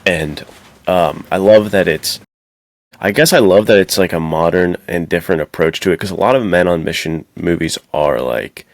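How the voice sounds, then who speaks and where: A man's voice: sits low, normal speed, dry recording. A man co-hosting a podcast in casual, slangy, enthusiastic chat. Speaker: podcast co-host.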